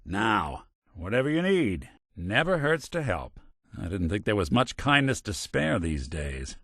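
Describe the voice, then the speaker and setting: An actor playing a world-weary, wisecracking android detective in a video game, hardboiled but kind, with dry old-fashioned gumshoe delivery. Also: male voice, fairly low pitch, big pitch swings, a little slow, fairly bright tone, husky, no room sound, exaggerated voice. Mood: wry, kindly. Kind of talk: game character voice lines.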